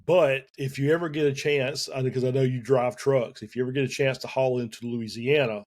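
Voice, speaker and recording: A man with a Southern US accent chats as a guest over a call. He speaks in loose, halting phrases, full of fillers and restarts. His voice sits in an ordinary middle register. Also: mid-range voice, fairly even intonation, a little mellow. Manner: halting, many fillers and restarts, chuckles often. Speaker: guest, sim racing team co-owner. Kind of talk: casual podcast interview.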